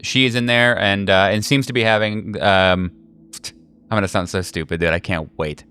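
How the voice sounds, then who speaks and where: A man running a tabletop horror game as game master, narrating casually in a halting, slangy, jokey way. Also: lowish voice, fairly even intonation, sharp tone, clean tone.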